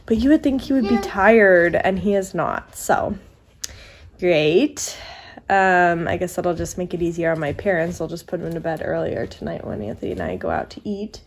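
A woman's voice: fairly low pitch, expressive, moderate pace, slight background noise. A young American woman chatting casually to her vlog as a mother, recorded at home in a living room on a handheld camera. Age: young adult. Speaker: vlogging mother of young children.

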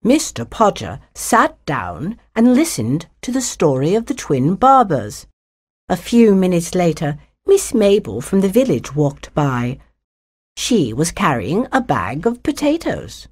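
Storybook narrator, female, slightly low voice, unhurried, scripted children's tale. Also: huge pitch swings, a little mellow, breathy edge. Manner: storybook expressiveness.

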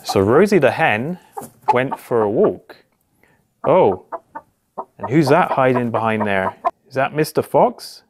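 A man in his thirties reads a picture-book story aloud for small children. His voice sits at a medium pitch. He narrates in simple phrases, with expressive exclamations and questions put to the young listeners. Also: slow pace, long pauses. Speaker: police constable reading a children's story. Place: small indoor office or home room.

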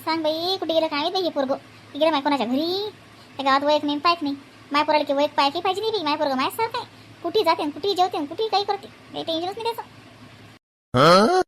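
A grumbling, bossy village woman from a comedy cartoon, with a Vidarbha Marathi accent. Her voice is extremely high and she complains at a quick clip, over faint background noise. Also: lively intonation, fairly bright tone. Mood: muttering, nosy. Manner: scolding, complaining, colloquial.

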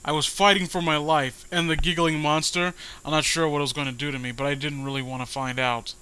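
Male story reader, higher-than-average pitch, bright forceful tone, dramatic and sarcastic. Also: level tone, a little fast, smooth, poor audio. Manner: sarcastic, dramatic reading broken by asides. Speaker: host reading amateur horror stories. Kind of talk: story reading with mocking commentary.

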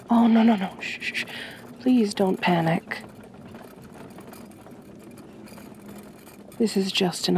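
A woman voicing a gentle, possessive aristocratic vampire mistress in an ASMR roleplay, soft-spoken, formal and soothing. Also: on the low side, a little hiss or hum. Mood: tender, coaxing.

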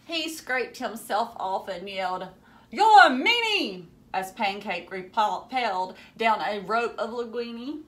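A woman in her thirties giving an animated, dramatic picture-book read-aloud with teacherly asides in a room at home. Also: medium pitch, slow pace, few pauses.

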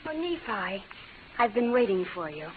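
Actress as demure young western bride, medium pitch, hesitant, muffled vintage radio. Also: female voice, very animated, moderate pace, a little hiss or hum, lightly acted. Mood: nervous. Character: sweet, demure young frontier bride with spirit. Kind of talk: 1940s-50s radio western acting.

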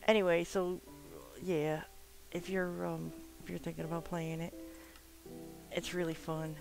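A nasal, slightly stuffed-up voice with a gravelly, creaky edge, rambling through casual gameplay commentary. The talk is chatty and loose, full of "um"s and offhand swearing. Faint game music plays quietly underneath. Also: mid-range voice, low-quality recording. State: stuffed-up from swimming. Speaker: gamer recording a let's play.

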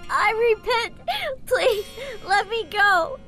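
Spoiled young girl woman acting, whiny and spiteful, extremely high, slow melodramatic delivery, radio horror. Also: full character voice. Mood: pleading, scared. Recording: loud music under the voice.

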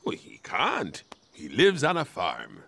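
A fussy, easily startled man of a cartoon castle, with a bright, creaky voice. He speaks slowly and exclaims readily, with faint music behind him. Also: fairly low pitch, wildly swooping pitch, no room sound, heavily acted. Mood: gently firm. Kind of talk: children's cartoon voice acting.

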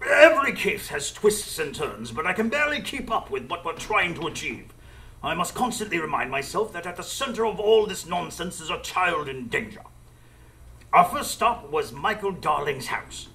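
A man acts a proper gentleman sidekick telling a detective tale on radio. His voice is bright and animated, formal, with an exasperated streak. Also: sits high, moderate pace, slight background noise, exaggerated voice. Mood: measured storytelling. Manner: formal, storytelling, easily exasperated. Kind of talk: first-person narration in live radio drama.

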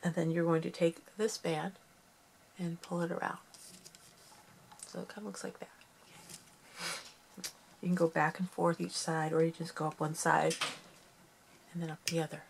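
A middle-aged woman with a slightly low, gravelly voice and a sniffly, stuffed-up nose walks through a craft project at her table. She talks in a soft, rambling, homey way. A faint hiss sits behind her. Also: little pitch movement, normal speed, long pauses, poor audio. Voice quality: gravelly, creaky voice. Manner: talks softly while working with her hands, rambling and homey. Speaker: craft tutorial host. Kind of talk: casual craft tutorial.

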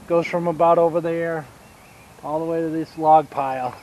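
A man in his fifties with a Southern US accent, giving a casual outdoor tour of his homestead and explaining each step with pauses. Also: fairly high pitch, steady tone, a little mellow, poor audio. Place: outdoors on rural land by a pine forest. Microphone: action camera held at arm's length.

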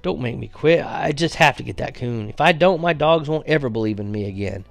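A man's voice: medium pitch, normal speed, vocal fry, no echo, soft background music, pitched above the performer's own voice, natural voice. A man reading aloud and voicing a determined young farm boy who pleads earnestly. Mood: pleading. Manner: earnest, pleading, raises voice when insistent.

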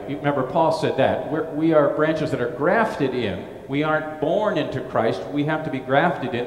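A middle-aged male pastor giving a gospel reading and sermon in a brick church, chatty and informal, with asides and restarts. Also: medium pitch. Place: brick-walled church sanctuary. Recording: echoey church with long reverb.

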